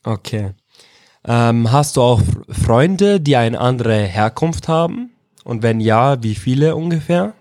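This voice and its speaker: A man's voice: mid-range voice, lively intonation, measured pace, a little mellow. A man from Iraq interviewing young people in a casual way, often restating his questions in other words. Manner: informal, rephrases his questions several ways.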